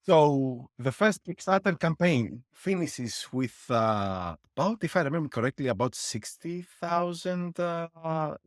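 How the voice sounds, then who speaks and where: A man's voice: a little high, animated, measured pace, few pauses, dry recording, studio quality. A middle-aged man from Greece, a guest explaining business lessons with worked numbers and a wry laugh. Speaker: guest, board game publisher. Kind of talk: interview answers.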